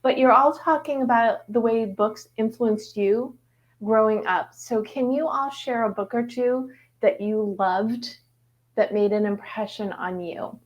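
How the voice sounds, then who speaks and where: A woman's voice: fairly high pitch, unhurried, lo-fi sound. A woman moderating a panel of authors, enthusiastic, with frequent stumbling restarts.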